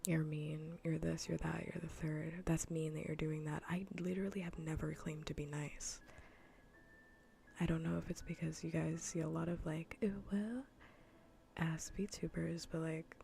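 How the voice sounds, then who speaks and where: Casting: female ASMR gaming streamer, deep creaky voice, soft breathy half-whisper, dry and unhurried delivery on a close mic. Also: level tone. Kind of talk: soft-spoken ASMR gaming livestream chat.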